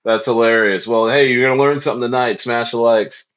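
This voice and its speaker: A man's voice: medium pitch, fairly even intonation, fairly quick, a bit bright. A man hosts a crypto livestream in easy, rambling chat. He says lines twice and answers the chat as he reads it. His voice sits mid-range and comes through dull, lo-fi stream audio. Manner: loose and repetitive, echoes phrases, reads chat aloud.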